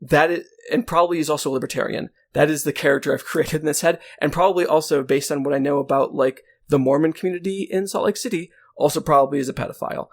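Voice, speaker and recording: A man's voice: on the high side, fast, few pauses. A man co-hosting a show, chatting casually and jokingly about films. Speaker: podcast co-host. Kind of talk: casual chat, joking film commentary.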